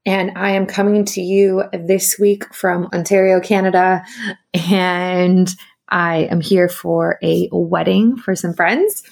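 Young female podcaster, upbeat rambling chat, heavy vocal fry. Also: fairly low pitch, fairly even intonation, takes it slowly, a little mellow. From South Africa. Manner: chatty, upbeat, heavy use of "like". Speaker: podcast host. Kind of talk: casual solo podcast chat.

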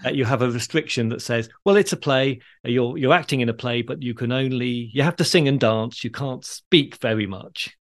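A man's voice: medium pitch, moderate pace, few pauses. Male podcast host, talking listeners through history in conversation.

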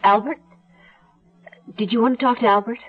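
An actress playing a guarded wife calmly covering up a killing, in a husky voice in a radio drama. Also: female voice, fairly high pitch, moderate pace. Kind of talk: 1970s radio drama acting. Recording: dull lo-fi radio drama recording.

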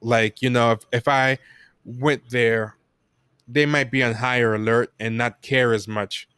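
An American man talking casually and reflectively in an interview, feeling his way through his points in a halting manner. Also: mid-range voice, fairly even intonation, fairly bright tone, clear, resonant tone, dry recording, clean studio sound. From United States. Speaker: podcast guest.

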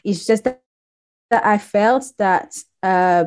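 A woman in her thirties who grew up in Congo speaks as an interview guest. She tells her story warmly in a mid-range voice and often restarts her sentences. She is heard over a video call. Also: mid-range voice, slow pace, clear, resonant tone. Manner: warm storytelling with frequent restarts. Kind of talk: casual interview chat.

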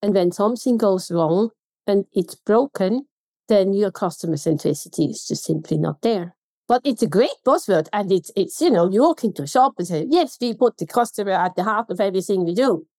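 A woman's voice: mid-range voice, lively intonation, a little fast, mellow, no echo. Emphatic Swiss woman with a German accent. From Switzerland. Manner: emphatic, pointed rhetorical questions. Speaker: guest consultant. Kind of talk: podcast interview.

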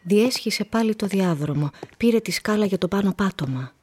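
A woman narrating a radio play as a literary storyteller, measured and descriptive. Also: mid-range voice, expressive, darkish tone, breathy edge. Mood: intent storytelling.

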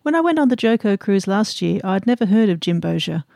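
A woman's voice: mid-range voice, very animated, fairly quick, vocal fry, dark tone, dry recording, studio quality. An Australian woman in her fifties hosting a podcast, bantering and laughing often in an interview on a cruise ship. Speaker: podcast host.

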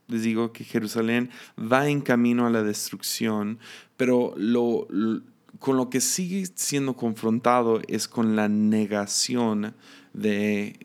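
A man's voice: mid-range voice, clean tone. A man giving an informal Bible lesson, hesitant, with many filler sounds and restarts. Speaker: Bible teacher.

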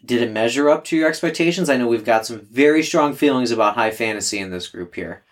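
A man's voice: medium pitch, animated, quick pace. A man hosting a casual book discussion podcast, joking about himself and steering the talk. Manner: self-deprecating, joking, leads the discussion. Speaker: podcast host. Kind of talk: casual book club chat.

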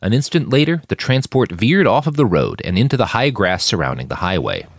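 A man with a low voice narrates fiction with drama and very animated intonation. The delivery is expressive and scripted, recorded dry and close. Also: brisk, dry recording. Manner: expressive, shouts out characters' lines. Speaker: audiobook narrator reading own fiction podcast.

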